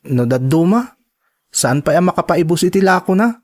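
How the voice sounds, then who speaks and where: An actor with a Filipino accent playing an earnest, determined young college student in a radio drama, with an imploring manner. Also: male voice, high voice, animated, normal speed. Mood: earnest, confiding. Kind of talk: Ilocano radio-style drama acting.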